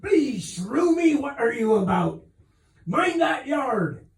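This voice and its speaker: A man's voice: very high-pitched, sing-song, slow, roomy sound, recorded from a distance, voice pushed up, full character voice. A man voicing a whiskered sea captain from a storybook, with rustic, courteous nautical speech and a bellowing temper. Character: bellowing, bewhiskered sea captain, gentle and apologetic between shouts.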